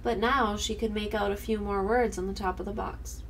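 A woman's voice: mid-range voice, fairly even intonation, normal speed, far from the mic, a little hiss or hum, low-quality recording. A young woman with a congested, stuffy voice, reading and chatting casually at her home streaming desk. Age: young adult. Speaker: streamer, co-host. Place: home streaming room, indoors.

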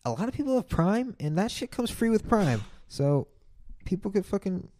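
A man's voice: high voice, very animated, normal speed, warm tone. A young man co-hosting a podcast, chatting loosely and joking, with lots of filler words. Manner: loose and joking, slips into silly put-on voices. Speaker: podcast co-host. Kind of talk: casual chat.

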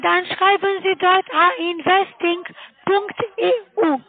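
A woman with a foreign accent and non-native, halting German, giving a sales pitch as a cold caller over the phone. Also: steady tone, dry recording. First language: not German. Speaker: cold caller selling online investments. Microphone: telephone call. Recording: muffled narrowband phone line.

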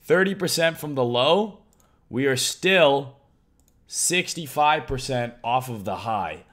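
A young man with a hoarse voice, talking loudly and casually on a crypto trading live stream, swearing freely. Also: medium pitch, slow. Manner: loud, excitable, swears casually.

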